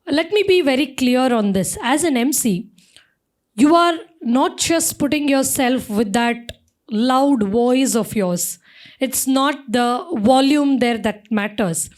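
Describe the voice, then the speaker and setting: A woman in her thirties, an event emcee, answers panel questions in a strong, commanding voice. She pushes her points emphatically and expressively, with plenty of fillers, in a fairly high register for someone so assertive. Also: darkish tone. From India.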